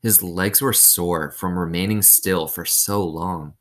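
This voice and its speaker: Male storyteller, low register, reads a children's novel with simple explanatory asides and questions. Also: takes it slowly.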